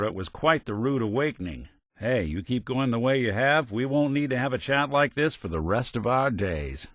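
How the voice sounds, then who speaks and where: An actor playing a world-weary, principled robot detective in a video game, with an American accent and wry, plainspoken, hard-boiled old-time talk. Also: male voice, medium pitch, fairly bright tone, no echo, clean studio sound. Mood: stern, disappointed. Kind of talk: video game character dialogue.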